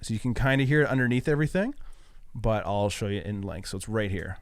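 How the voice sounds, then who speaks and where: A man's voice: on the low side, quick pace, a little hiss or hum. A young man, a music producer, casually and cheerfully walking through how he built a remix. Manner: upbeat, explanatory, casual. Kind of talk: explaining a remix in a studio walkthrough.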